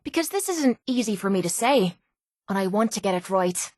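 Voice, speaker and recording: An actress voicing a tough, guarded but loyal young Irish pit fighter in a video game, speaking bluntly and colloquially. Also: female voice, mid-range voice, lively intonation, moderate pace, darkish tone, no echo, studio quality, natural voice. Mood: awkward, earnest. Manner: blunt, rough-edged, colloquial. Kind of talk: video game companion dialogue.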